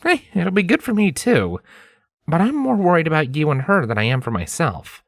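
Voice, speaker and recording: Man voicing a higher-pitched gentle scholar pony. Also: sing-song, normal speed, vocal fry, dry recording, studio quality. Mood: earnest, worried. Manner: casual, reassuring, hesitant. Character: optimistic, scholarly male pony, gentle and caring.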